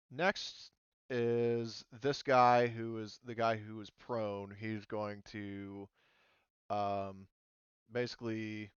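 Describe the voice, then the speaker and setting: A man running a tabletop roleplaying game, talking casually through the rules and thinking aloud in a halting way. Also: mid-range voice, clear, resonant tone, no room sound, highs cut off. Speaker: game master.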